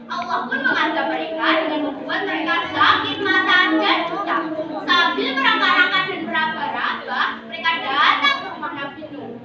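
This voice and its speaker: A very high female voice narrates a religious tale with theatrical, declamatory delivery. She sounds distant in a heavily echoing contest hall, with clear noise around her. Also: animated, normal speed. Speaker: storytelling contestant. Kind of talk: dramatic memorized religious storytelling. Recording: echoey noisy hall, muffled, far off.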